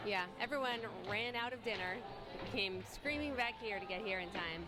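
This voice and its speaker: A woman's voice: mid-range voice, normal speed. Bubbly woman commentating live. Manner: bubbly, giggly, enthusiastic. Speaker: event commentator. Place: ballroom at a dance event. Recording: live stream with loud music and crowd behind. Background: loud swing music and crowd.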